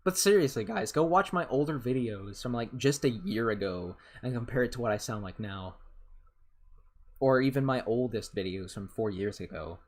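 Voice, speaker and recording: A man's voice: expressive, moderate pace. A young man in his twenties, rambling casually with some swearing, chatting over a game as a YouTuber answering questions. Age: 20s. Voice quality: voice has grown deeper. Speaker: gaming YouTuber. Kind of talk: casual gameplay commentary and Q&A.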